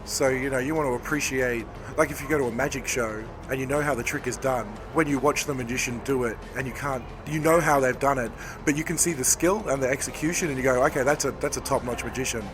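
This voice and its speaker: A man, a game developer, hoarse from talking all day, answering interview questions in a rambling, thoughtful way. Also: mid-range voice, quick pace, noisy background. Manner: rambling, self-correcting, thoughtful. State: hoarse, losing his voice. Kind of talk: convention floor interview. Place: busy convention floor.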